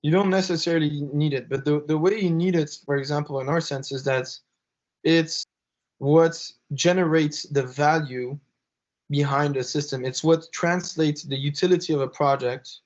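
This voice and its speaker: A young man, half French and half Lebanese, answering interview questions haltingly with many fillers and restarts. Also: a little high, steady tone. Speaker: interview guest, blockchain executive. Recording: slightly dull podcast audio.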